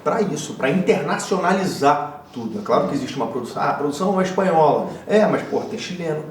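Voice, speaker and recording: An enthusiastic actor with a somewhat high voice, casual and slangy as he answers interview questions. He sounds a little distant in an echoey room with faint hiss. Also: expressive, quick pace.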